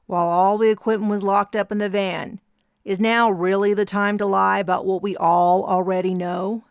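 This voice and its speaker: A woman voicing a weary grown man who speaks in a slow drawl. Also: mid-range voice, gravelly, dry recording, studio quality. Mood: exasperated.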